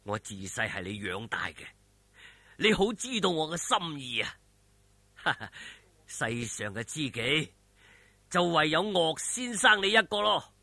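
A man voicing a young swordsman hero in a storybook telling, low-key, sighing and ironic. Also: sing-song, measured pace, vocal fry, long pauses, bright, forceful tone, dry recording, heavily acted. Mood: wry defiance. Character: defiant, wry young male swordsman hero. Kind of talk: Cantonese audio storytelling.

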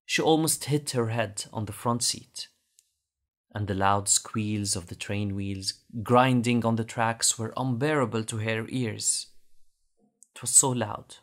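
A man's voice: lowish voice, measured pace. Lebanese man telling a bedtime story. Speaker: storyteller, father.

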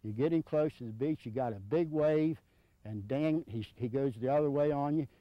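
A man's voice: medium pitch, normal speed, no echo. A man in his fifties giving informal, hands-on instruction in a plainspoken, folksy way, repeating his points, on a dull old video recording. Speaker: surf fishing instructor. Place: outdoors on a sandy beach.

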